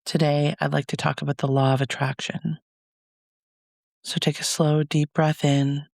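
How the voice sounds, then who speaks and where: A man leading a guided meditation as a reflective monologue, speaking in a soothing, measured, gentle way with pauses. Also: a little high, little pitch movement, creaky, no room sound.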